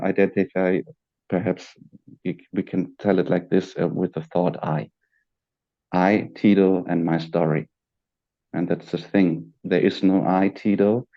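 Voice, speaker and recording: Halting older-sounding man, muffled call audio. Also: deep voice, gravelly. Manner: halting, searching for words, with frequent fillers and restarts. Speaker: guest. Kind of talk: reflective spiritual conversation. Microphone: video call.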